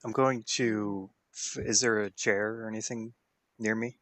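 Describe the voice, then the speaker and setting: A man's voice: lowish voice, flat delivery, a little slow, a bit bright, no echo. A man chatting casually as a player at a tabletop role-playing game.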